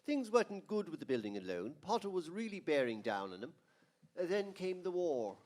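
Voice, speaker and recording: Man playing a patient, higher-voiced senior angel narrator. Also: sing-song, moderate pace, a little mellow. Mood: telling, grave. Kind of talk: old-time radio storytelling.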